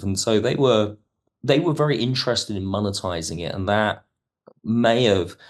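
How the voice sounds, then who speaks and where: A man speaking as an expert guest in an interview, explaining things in a measured, digressive way. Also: sits low. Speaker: podcast guest, television history expert. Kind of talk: conversational interview commentary.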